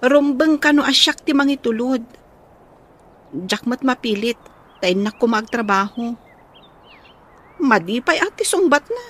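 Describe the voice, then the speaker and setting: A young wife and mother in a radio drama, with a very high voice. She sounds exasperated and ready to complain. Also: animated, measured pace, long pauses, a bit bright. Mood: frustrated. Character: fed-up young mother, nagging her jobless husband. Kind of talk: Ilocano radio drama acting.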